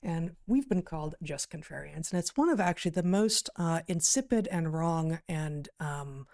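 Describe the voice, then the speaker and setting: A woman giving deliberate, articulate conversational commentary as a podcast co-host, pausing mid-sentence. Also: sits low, lively intonation, darkish tone. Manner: deliberate, articulate, hesitant pauses mid-sentence, emphatic word choices. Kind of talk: conversational commentary on news, reading out quotes.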